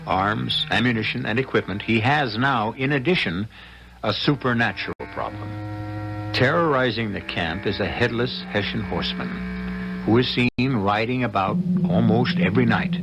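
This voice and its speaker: A man as a grave, wry radio mystery host, narrating in a deliberate, portentous, dramatic way. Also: on the low side, vocal fry, a bit bright, rough recording. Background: music.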